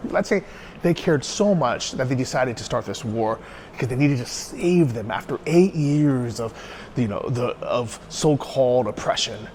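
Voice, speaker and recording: A man's voice: medium pitch, expressive, normal speed, a little mellow. American guy ranting in a vlog, emphatic, asks his own questions then answers them, faint noise behind. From United States. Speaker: YouTuber. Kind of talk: opinionated solo vlog monologue.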